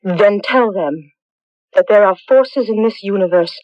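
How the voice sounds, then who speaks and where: A woman's voice: medium pitch, unhurried, dry recording, natural voice. An actress playing a proud, mysterious young village woman in a radio drama, formal and composed. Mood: composed, cryptic. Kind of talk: 1980s radio drama acting. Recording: dull lo-fi radio broadcast recording.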